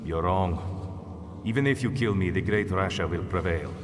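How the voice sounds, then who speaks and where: An actor playing a proud, demanding and fearful emperor under siege in game dialogue, with an imperious, strained delivery. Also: male voice, low voice, measured pace, background noise. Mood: defiant. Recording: long hall reverb, loud music.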